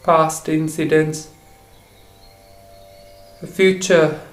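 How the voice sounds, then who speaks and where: A man guiding a meditation for relaxation and sleep, speaking in short, halting phrases. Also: on the high side, little pitch movement. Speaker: meditation guide. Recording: roomy echo with faint insect noise.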